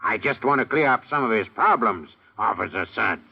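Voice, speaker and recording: Gravelly, husky comic hypnotist. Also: male voice, on the low side, expressive, fairly quick, heavily acted. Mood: coaxing, commanding. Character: bossy amateur hypnotist man in comic ad. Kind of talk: old radio comedy commercial acting. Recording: dull old radio recording.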